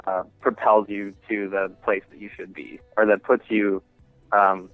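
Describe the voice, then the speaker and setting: Male musician guest, lowish voice, casual and halting interview talk, heard over a thin, phone-like connection. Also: flat delivery, no echo, faint music behind. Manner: halting, informal, rambling thought by thought. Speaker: interviewed singer-songwriter and music teacher. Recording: thin phone-line sound.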